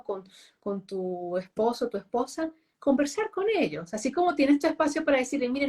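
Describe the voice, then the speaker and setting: A chatty woman guest in relaxed conversation, her voice sitting a bit high. She talks openly, often tucking little tag questions onto the end of her sentences. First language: Spanish. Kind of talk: casual conversation.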